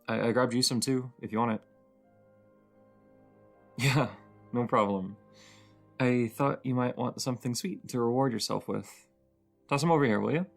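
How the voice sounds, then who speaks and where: A sleepy, grumbly young roommate voiced by a woman in a cozy audio roleplay, over a bed of music. The voice is low for a woman and full of creak. The delivery is soft, casual and halting, playfully teasing with a shy fondness. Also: heavily acted. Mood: casual, caring.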